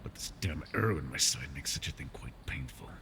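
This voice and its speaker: A man's voice: a bass voice, expressive, a little fast, creaky, pushed and bright, a little hiss or hum, exaggerated voice. A man voicing a proud, wounded male dragon in an ASMR fantasy roleplay, haughty and scornful while he is tired and in pain. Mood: pained, wary.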